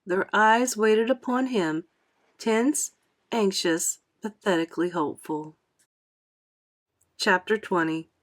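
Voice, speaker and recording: A woman reading a children's novel aloud as an expressive classroom storyteller. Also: medium pitch, takes it slowly, long pauses. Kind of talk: expressive children's audiobook narration.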